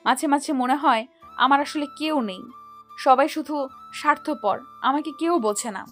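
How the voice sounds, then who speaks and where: A woman acting a hesitant, sweetly vulnerable young woman in an audio story, speaking softly and questioningly. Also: high voice, moderate pace, faint music behind. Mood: plaintive. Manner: soft, plaintive, questioning.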